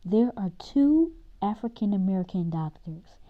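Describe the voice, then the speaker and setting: A woman's voice: mid-range voice, big pitch swings, a little slow. Knowledgeable, patient storybook father, read by a woman, explanatory delivery, lo-fi recording. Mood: patient, explaining.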